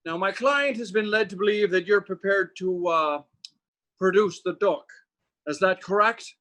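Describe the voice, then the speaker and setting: A man's voice: sits high, normal speed, pushed and bright. A man playing an oily, fussy lawyer for mobsters in an old-time radio drama, mannered, polite and evasively hesitant. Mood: careful.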